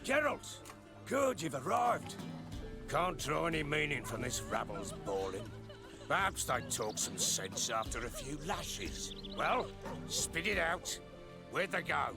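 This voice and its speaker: An actor playing a coarse, boisterous middle-aged baron in a dramatic video game, swearing and commanding. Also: male voice, a little high, big pitch swings, slow, vocal fry, over music, heavily acted. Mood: impatient, commanding.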